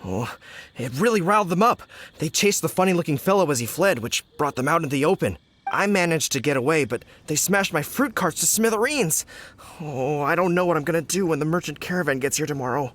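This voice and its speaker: A fretful cart driver. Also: male voice, on the high side, animated, brisk, fairly bright tone, a little hiss or hum. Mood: distressed. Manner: fretful and complaining. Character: flustered fruit-cart delivery man, indignant. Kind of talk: video game voice acting. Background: game music.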